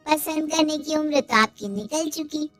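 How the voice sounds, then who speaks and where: A woman's voice: sits high, unhurried, fairly bright tone, faint music behind. A woman playing a taunting young sister-in-law in a cartoon, mock-sweet and needling. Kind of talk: Hindi cartoon dialogue.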